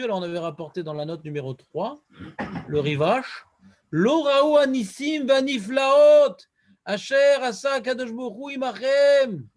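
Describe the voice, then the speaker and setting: High-voiced rabbi teaching. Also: male voice. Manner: lively, questioning, quotes Hebrew verses in a chant-like cadence. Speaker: rabbi teaching a Talmud class. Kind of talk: Talmud lesson.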